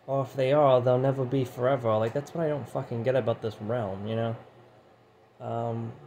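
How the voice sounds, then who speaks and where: Mellow, rambling man with a fraying voice. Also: medium pitch, fairly even intonation, moderate pace. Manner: rambling, profane, full of "you know". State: voice going hoarse. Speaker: channel host. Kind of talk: casual rambling monologue. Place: his room, indoors. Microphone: phone set down nearby. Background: heater hum.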